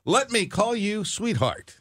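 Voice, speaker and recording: A male radio host with a fairly high voice that swings around a lot as he talks, upbeat and fond of a joke. He chats live from a studio microphone, and the sound is close and dry. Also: big pitch swings, a little slow, fairly bright tone, dry recording. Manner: upbeat and jokey. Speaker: radio talk show host.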